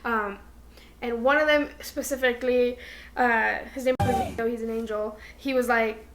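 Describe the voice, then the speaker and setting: A woman's voice: a little high, steady tone, normal speed, a little hiss or hum, low-quality recording. A young woman co-hosting a podcast, telling stories to a friend in informal, slangy speech peppered with "like". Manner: informal, slangy, heavy use of "like". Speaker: podcast co-host. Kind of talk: casual chat and storytelling between friends.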